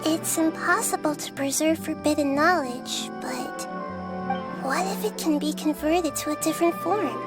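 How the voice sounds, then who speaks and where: Soft-spoken young goddess, high voice, gentle, reasoning calmly, music behind. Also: female voice, unhurried. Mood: thoughtful. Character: youthful goddess of wisdom, gentle and thoughtful. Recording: game audio with music. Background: game music.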